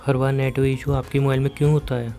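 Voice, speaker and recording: A man's voice: medium pitch, completely flat delivery, fast talker, creaky, mellow. A young man with an Indian accent chatting casually and intimately on a phone call, insistently asking questions. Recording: phone call recording, faint music.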